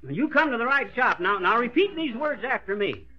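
An actor playing a folksy, boastful middle-aged schemer with an American accent and a slangy, bossy manner, in old radio comedy style. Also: male voice, very high-pitched, sing-song, moderate pace. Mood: coaching, bossy. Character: folksy, boastful middle-aged tall-tale schemer running an acting school. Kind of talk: 1930s-40s radio comedy acting. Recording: dull lo-fi old radio recording.

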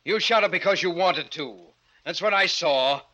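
A man's voice: mid-range voice, sing-song, moderate pace, sharp tone, breathy edge, full character voice. An actor playing a cold-blooded, manipulative man who acts and narrates in an old-time radio drama, with an insinuating, coolly needling manner. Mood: cold accusing. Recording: muffled, band-limited old radio recording.

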